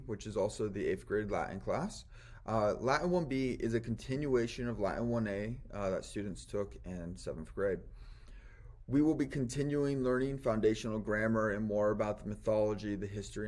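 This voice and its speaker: A man's voice: on the low side, darkish tone. An American man, a Latin teacher, giving an informal introduction to his course.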